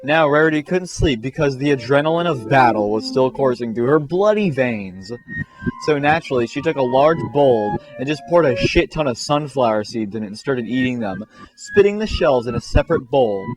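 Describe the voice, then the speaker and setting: A man with a medium-pitched voice reads a silly fan story with mock drama. He slips into casual asides, and music plays clearly behind him. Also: lively intonation, moderate pace, rough recording. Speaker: narrator. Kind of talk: comic fan fiction storytelling.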